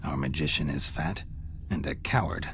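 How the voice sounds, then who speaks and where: An actor playing a pompous man who poses as a gruff monster hunter in a video game, stiff and archaic in his wording. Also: rumbling low, moderate pace, fairly bright tone, slight background noise, exaggerated voice. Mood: pleased musing. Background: background music.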